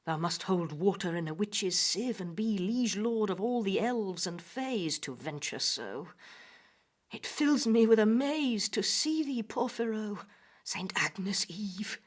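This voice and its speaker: A man's voice: high voice, expressive, takes it slowly, pitched above the performer's own voice, exaggerated voice. A man voicing a frail, fearful, scolding old nursemaid with a thin, whispery, quavering voice in a dramatic audio poem. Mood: muttering, amazed. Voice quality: breathy, whispery, thin aged voice. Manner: quavering, urgent, archaic speech. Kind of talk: dramatic poetry recital.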